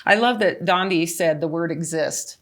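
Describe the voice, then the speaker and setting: A woman's voice: lowish voice, normal speed. A woman in her early sixties speaking conversationally as a consultant on a panel, sharing personal testimonial.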